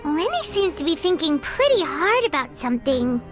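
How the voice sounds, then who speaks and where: A woman voicing a tiny childlike fairy companion in a video game, squeaky and exclamatory, with music behind her. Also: very animated, moderate pace. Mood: puzzled. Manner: exclamatory, refers to self in third person. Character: tiny childlike floating fairy companion, excitable and food-loving. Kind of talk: video game character acting.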